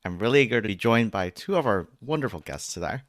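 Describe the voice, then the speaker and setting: A man hosting a podcast, introducing guests and asking questions in a friendly, measured way. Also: fairly low pitch, expressive, no room sound. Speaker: podcast host.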